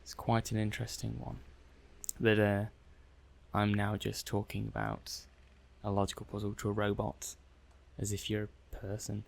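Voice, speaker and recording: A man acting an affable technician in an ASMR sci-fi roleplay. His voice sits fairly low with plenty of gravelly creak. He talks softly and hesitantly, thinking aloud as he runs tests on a robot, a little flustered. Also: monotone, normal speed. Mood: explaining, intrigued. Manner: hesitant, musing aloud, conversational. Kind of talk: soft-spoken ASMR roleplay.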